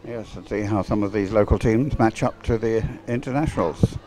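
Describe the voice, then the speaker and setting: A man's voice: fairly low pitch, moderate pace, a little mellow, poor audio. A man giving live race commentary in an understated, searching way. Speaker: sports commentator.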